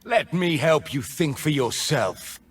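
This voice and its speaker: Casting: a bitter, rousing rebel mage for a game; slow, deliberate, intense lines with dramatic swings. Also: male voice, fairly high pitch, animated, slightly rough, exaggerated voice. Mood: menacing. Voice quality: intense, forceful delivery. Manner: theatrical rallying cries and taunts. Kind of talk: video game character voice lines.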